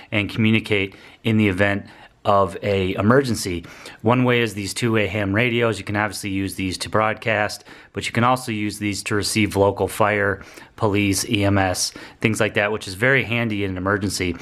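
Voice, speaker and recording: A man's voice: fairly low pitch, fairly bright tone. A man explaining and demonstrating a product in a plainspoken, informal review style.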